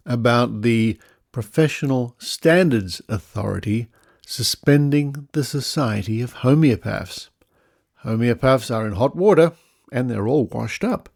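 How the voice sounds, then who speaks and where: A man's voice: medium pitch, a little mellow, no echo. An Australian man introduces a podcast in a chatty, playful way. His delivery has a theatrical touch, and the sound is very dry and close. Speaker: podcast host.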